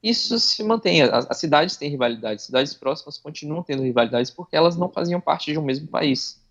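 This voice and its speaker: A man's voice: fairly high pitch, expressive, a little fast, a bit bright, muffled recording. Self-correcting man explaining history. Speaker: podcast panelist. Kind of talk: explaining history in a casual panel.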